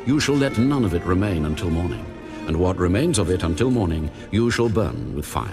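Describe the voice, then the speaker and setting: A majestic divine lawgiver in biblical audio theater. The voice is low and swings expressively as it lays down solemn, authoritative commands. Music swells clearly underneath. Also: male voice, animated. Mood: solemn, instructing. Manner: solemn, authoritative, deliberate pronouncements. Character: God, male, majestic, stern divine lawgiver. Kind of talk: dramatized audio Bible acting.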